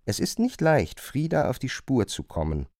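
A man narrating a literary radio play, retelling a chambermaid's grievances in an expressive, emphatic way. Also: medium pitch, normal speed, no room sound, clean studio sound. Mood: engaged, aggrieved.

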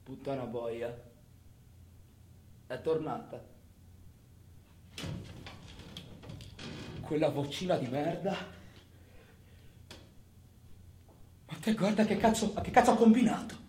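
A tormented, menacing man played by a male stage actor in a theatrical monologue, his voice a little high. He mutters and curses, swinging abruptly toward outbursts. The space is roomy and echoing, with faint noise behind him. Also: normal speed, close to an everyday voice. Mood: agitated. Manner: foul-mouthed, muttering, abrupt swings between murmur and outburst. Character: tormented, volatile criminal man, taunting and cursing himself.

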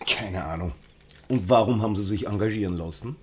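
An actor playing a chatty village schoolteacher in a radio drama, with an Austrian accent and an eager, obliging manner. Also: male voice, lowish voice, a little fast, close to an everyday voice. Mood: intrigued. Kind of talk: naturalistic radio drama acting.

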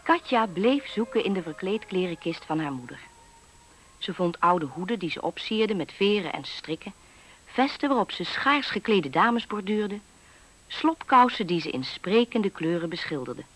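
An actress playing the storyteller of a whimsical fairy-tale radio play, in scripted narration. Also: female voice, medium pitch, big pitch swings, normal speed. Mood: storytelling.

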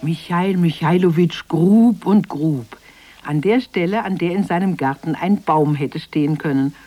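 A woman's voice: on the low side, measured pace, dark tone. A woman narrating a scripted fairy tale for children in a radio play.

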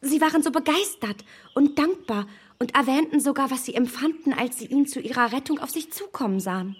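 A woman playing a self-assured young gentlewoman in a radio drama, formal and emphatic, shaken but keeping control. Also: high voice, animated, fairly quick. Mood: controlled, insistent. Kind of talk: German radio drama acting.

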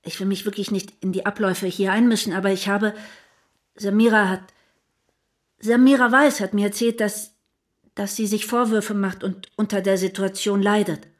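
An actress playing a tactful but persistent publisher in a naturalistic radio drama, speaking carefully and searching for words. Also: female voice, mid-range voice, fairly even intonation, normal speed, natural voice. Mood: hesitant, concerned. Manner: hesitant, diplomatic, searches for words.

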